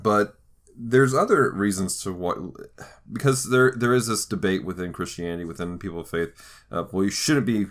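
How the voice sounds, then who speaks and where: A man hosting a conversational podcast, talking through ideas in a thoughtful, halting way with frequent restarts. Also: on the low side, fairly bright tone. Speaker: podcast host. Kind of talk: conversational podcast discussion of faith and pop culture.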